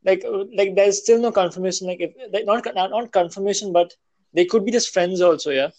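A young man co-hosting a casual podcast over a call, halting and repeating his words. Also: high-pitched, darkish tone, no echo. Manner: hesitant, repeats words and restarts often. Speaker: podcast co-host. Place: at home in India. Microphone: call audio.

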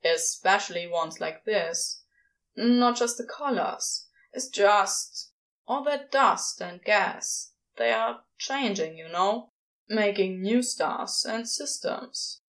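A woman voicing a laconic male supernatural being in a story, casual and halting, bashful with tenderness underneath. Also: mid-range voice, animated, fairly bright tone. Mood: bashful pride. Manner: casual, halting, clipped phrasing. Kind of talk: podfic character dialogue.

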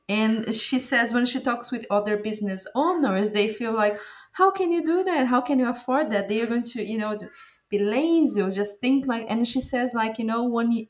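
A woman whose first language is Portuguese, hosting a podcast and talking in a reflective, earnest way, with many fillers and restarts. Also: on the high side. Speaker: podcast host. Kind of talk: casual conversational interview.

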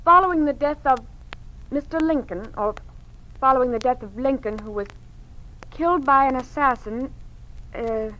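A woman's voice: high-pitched, bright, forceful tone, dull audio, slight background noise. An actress playing a hesitant young female student in a 1950s radio drama. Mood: unsure. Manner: halting, unsure.

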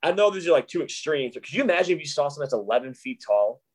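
A man's voice: on the high side, very animated, quick pace, husky. A man co-hosting a podcast in casual chat, rambling eagerly and full of asides. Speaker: podcast co-host.

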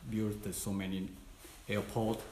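An older man from Taiwan whose first language is Taiwanese, telling his story in halting English with many restarts as an interview guest. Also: lowish voice, level tone, mellow, roomy sound, a little hiss or hum. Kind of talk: casual conversational storytelling.

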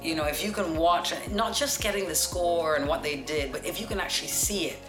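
A woman in her forties with a deep voice, telling stories as an interview guest in a confident, practised broadcaster's way. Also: a little fast. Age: 40s. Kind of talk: relaxed storytelling interview. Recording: echoey room with music behind.

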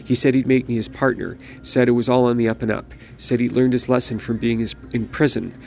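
A man voicing a domineering elderly mother who scolds her son melodramatically, in a gravelly voice. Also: mid-range voice, little pitch movement, fairly quick, a little mellow, slight background noise, lightly acted. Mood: aggrieved.

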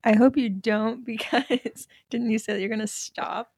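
A woman's voice: a little high, steady tone, moderate pace, a little mellow, breathy edge, no echo, studio quality. A teenage woman chatting as a podcast guest, teasing and giggly. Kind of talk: casual chat among friends.

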